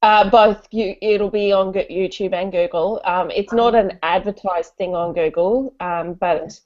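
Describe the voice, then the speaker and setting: A woman's voice: mid-range voice, fairly even intonation, measured pace, a little mellow. Chatty, giggly Australian woman, dull lo-fi sound. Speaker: host of an online book discussion. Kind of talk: casual chat. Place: live online video call. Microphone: computer call, wearing headphones. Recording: dull, lo-fi internet call sound.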